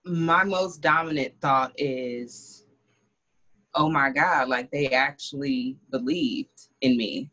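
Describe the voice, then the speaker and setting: A woman's voice: rumbling low, slow pace, a bit bright, low-quality recording. A woman being interviewed as a business owner, speaking in an earnest, reflective way in a casual chat.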